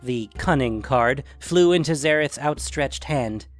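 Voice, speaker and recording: A man's voice: mid-range voice, measured pace, soft background music. A man reading scripted fantasy fiction as a dramatic narrator.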